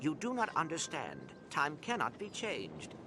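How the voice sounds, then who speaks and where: A man's voice: a little high, huge pitch swings, unhurried, noisy background. A man playing a small, wise robot sidekick in a video game, speaking formally and precisely, with earnest caution. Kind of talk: animated game cutscene acting.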